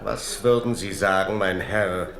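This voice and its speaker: Sardonic, menacing captain, echoey room. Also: male voice, low-pitched, a little slow, creaky, a crowd in the background. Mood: mocking menace. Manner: sardonic, insinuating. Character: provocative, menacing captain at a royal court. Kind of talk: 1970s radio play acting. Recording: echoey radio drama room.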